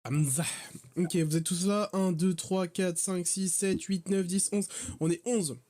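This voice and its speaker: A man with a high voice chatting casually as he plays a werewolf game over voice chat. He gets excitable and teasing, and his banter easily tips into shouting. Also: a little slow, a little hiss or hum. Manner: excitable, rowdy, teasing, shouts when worked up. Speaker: player setting up an online werewolf game. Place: voice chat from home.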